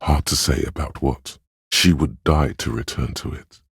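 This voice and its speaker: Inner voice of empathy, extremely low, heavily husky, velvety, grave, compassionate, measured game narration. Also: male voice, a bit bright, no room sound, clean studio sound, heavily acted. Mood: pensive, observant. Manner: measured, intimate, solemn. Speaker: game voice actor. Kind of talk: scripted second-person game narration.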